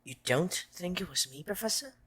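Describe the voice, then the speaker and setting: A woman's voice: lowish voice, animated, moderate pace, a bit bright, husky. A woman playing an earnest, troubled young schoolboy wizard in an audio drama, hesitant and self-doubting. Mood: subdued.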